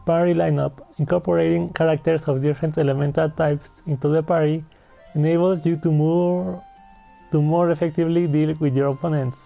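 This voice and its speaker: A man giving casual, halting gameplay commentary and reading on-screen text aloud. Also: a little high, flat delivery, vocal fry, lo-fi sound, faint music behind. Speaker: video game streamer.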